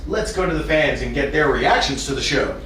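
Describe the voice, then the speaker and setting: Man acting TV reporter, hyped broadcast delivery, higher lively voice, distant in a reverberant room. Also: brisk. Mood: upbeat, hyping. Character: hyped TV news reporter narrating a rock opera. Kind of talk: broadcast news report read aloud. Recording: very echoey room, distant mic, hiss.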